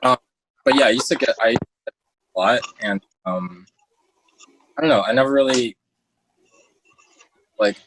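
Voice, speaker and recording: A young man speaking casually and haltingly as a guest on a remote call. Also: mid-range voice, a bit bright, slight background noise, rough recording. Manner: hesitant, halting. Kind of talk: casual chat. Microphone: headphones on an internet call.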